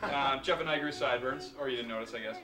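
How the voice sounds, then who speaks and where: A man's voice: mid-range voice, fast talker. A man handing out joke awards to a group of friends, teasing and laughing as he announces each category. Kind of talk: casual joking award announcements. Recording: echoey, from far off on a home camcorder.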